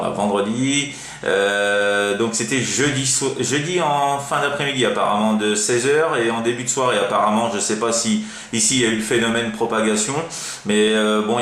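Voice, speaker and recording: A man's voice: lowish voice, fairly bright tone. A man chatting casually over CB radio as an amateur operator, rambling and hesitant, often repeating himself. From France. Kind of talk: casual radio contact chat. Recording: roomy, echoing room sound.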